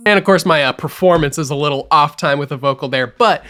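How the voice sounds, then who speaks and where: A man teaching music production in a screen-recorded tutorial, explaining each step clearly and with upbeat energy. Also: a little high, quick pace, sharp tone.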